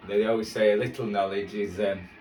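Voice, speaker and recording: A low-pitched man talks casually and a little self-deprecatingly as he tunes a radio for a hobby video. He rambles along in a roomy kitchen, some way from the microphone, with music clearly audible behind him. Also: steady tone, brisk, a little mellow, far from the mic. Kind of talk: casual chatty commentary while tuning a radio. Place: indoors in a kitchen. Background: music playing from a shortwave radio.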